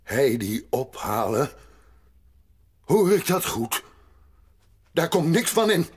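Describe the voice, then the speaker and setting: An actor playing a gruff, stubborn old grandfather in a radio play, husky and blunt, speaking slowly. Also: a little high, sing-song, exaggerated voice. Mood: indignant, angry. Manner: slow, grumbling, blunt. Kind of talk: Dutch radio play acting.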